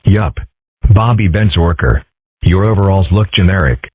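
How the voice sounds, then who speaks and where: A man's voice: low voice, animated, normal speed, breathy edge. A man doing a smug, brand-crazy cartoon kid. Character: smug, brand-obsessed boy. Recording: dry, tinny phone-like recording.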